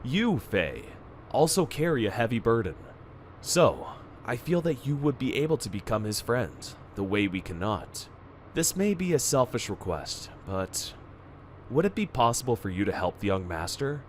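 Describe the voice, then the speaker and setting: A man's voice: mid-range voice, sing-song, normal speed, a little hiss or hum, lightly acted. A man acting a solicitous, formal minder to a young prince, speaking politely in a fan radio play. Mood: solicitous, grave.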